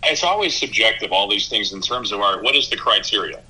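A man's voice: on the low side, animated, fast talker, sharp tone, poor audio. A man who works as a basketball broadcaster, giving casual sports analysis and thinking aloud as a guest.

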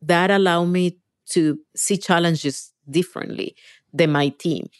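A woman's voice: fairly low pitch, steady tone, unhurried, smooth, dry recording. Middle-aged Venezuelan woman, close dry sound. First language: Spanish. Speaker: interviewee, virtual reality engineer. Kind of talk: conversational interview answers.

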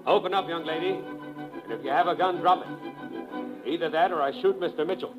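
An actor playing a suave, calculating male villain in a 1950s radio drama, measured, formal and menacing. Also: a little high, close to an everyday voice. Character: cold, smug, calculating male villain with gun. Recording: dull old radio recording with music.

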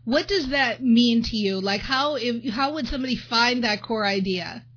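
A woman's voice: a little high, normal speed, lo-fi sound. A woman hosting an interview, enthusiastic, with a coaching tone. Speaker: interview host. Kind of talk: podcast interview.

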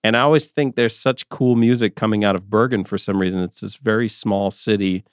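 A man's voice: fairly low pitch, steady tone, a little fast, smooth, no room sound, clean studio sound. A man co-hosting a music podcast, chatting casually and rambling with lots of filler words. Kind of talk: casual chat.

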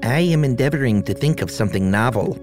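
A man's voice: medium pitch, expressive, fast talker, over music, pitched above the performer's own voice, close to an everyday voice. A man voicing a timid, bewildered male jester dwarf, hesitant and dazed.

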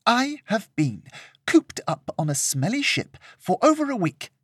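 A man voicing a haughty, spoiled young woman who whines and demands, pitched well above his own voice in a storybook reading. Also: very animated, unhurried, husky, dry recording, clean studio sound, exaggerated voice. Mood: indignant. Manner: whiny, indignant.

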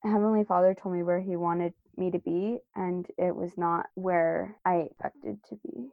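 A woman's voice: fairly low pitch, steady tone, moderate pace, vocal fry, dry recording, phone-quality sound. A woman guest earnestly telling a personal story in a casual faith discussion.

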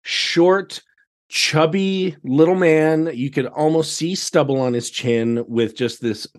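A man chatting casually as a podcast guest, telling anecdotes in an animated way and acting out exchanges. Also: on the high side, takes it slowly. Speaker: podcast guest, theatre director.

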